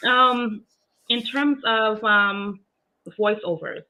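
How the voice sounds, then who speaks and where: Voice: mid-range voice, fairly even intonation, extremely slow, bright, forceful tone, poor audio. A podcast host interviewing a guest, asking questions and agreeing often along the way. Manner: encouraging, lots of agreeing backchannels. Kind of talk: casual interview chat.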